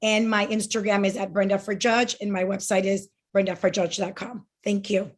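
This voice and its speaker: Woman in her 40s, flat even delivery, earnest campaign pitch with ums and restarts. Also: moderate pace. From Miami. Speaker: judicial candidate. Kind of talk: campaign speech at a candidate forum.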